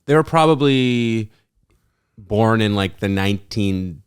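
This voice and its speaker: A man with a somewhat low voice, chatting as a podcast guest. He stammers and restarts often. He then delivers dry, understated jokes. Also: expressive, takes it slowly, clear, resonant tone. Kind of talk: casual comedic podcast chat.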